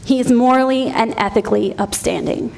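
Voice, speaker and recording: A woman's voice: fairly high pitch, fairly quick, vocal fry, a little mellow. A woman gives prepared testimony to officials at a public hearing. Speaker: veterans' advocate giving testimony. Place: public hearing in a university hall. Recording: long hall reverb, faint noise.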